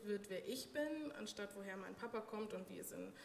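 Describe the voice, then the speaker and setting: A woman's voice: medium pitch, lively intonation, brisk, creaky. Woman interview guest, native German, self-deprecating and conversational, recorded in a reverberant hall with faint noise. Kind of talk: casual personal interview answers.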